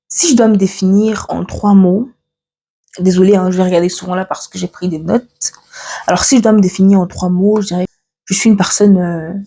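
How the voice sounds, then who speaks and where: A woman's voice: medium pitch, dark tone. A woman in her twenties, from Cameroon, chatting casually about herself in a get-to-know-me vlog, with many fillers and restarts as she checks her notes. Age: 20s. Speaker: vlogger.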